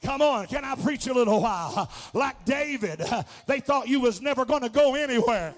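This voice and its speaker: A man preaching a fervent charismatic sermon, declaiming in repetitive rhythmic phrases with a strained, gravelly delivery. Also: extremely high pitch, expressive, brisk.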